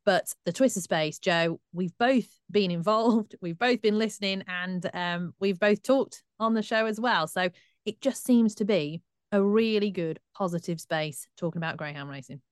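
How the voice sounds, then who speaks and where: A woman, co-hosting a podcast in a brisk, upbeat, chatty way. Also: medium pitch, clear, resonant tone, no echo, clean studio sound. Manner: brisk and upbeat, presenting the show. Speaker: co-host. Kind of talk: casual podcast chat.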